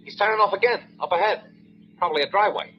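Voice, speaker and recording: A man's voice: high-pitched, rapid-fire, lightly acted. An actor playing a surly, wisecracking taxi driver in a 1940s radio drama, with slangy tough-guy talk. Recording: muffled 1940s radio broadcast with faint hiss.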